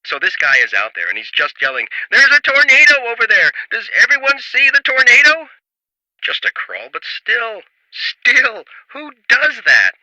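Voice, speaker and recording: An actor playing an animated storm chaser telling a wry, mocking anecdote in a video game. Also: male voice, extremely high pitch, normal speed, no room sound, full character voice, low-quality recording.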